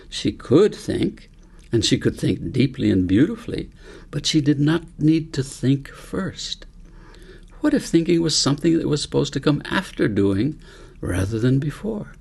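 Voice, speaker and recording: A man narrating a story audiobook in a measured, reflective way, as an author reading his own book aloud. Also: mid-range voice, vocal fry, darkish tone, slightly rough.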